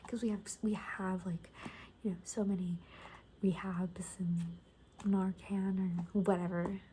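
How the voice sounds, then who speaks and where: Woman acting as gossipy zombie apocalypse survivor girl, soft whispery asmr, dark relaxed tone, trails off and rambles, slight noise behind. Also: mid-range voice, takes it slowly, lightly acted, low-quality recording. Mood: gossipy, indignant. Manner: rambling, hesitant, conspiratorial, casual. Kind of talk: soft-spoken ASMR roleplay.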